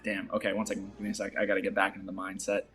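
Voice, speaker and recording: Casting: man, native Portuguese speaker, bright and forward tone, loose rambling delivery with fillers. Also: low voice, monotone, very fast, faint music behind. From Boston area, USA. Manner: rambling, filler-heavy, laughs often. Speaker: actor, interview guest. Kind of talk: casual interview chat.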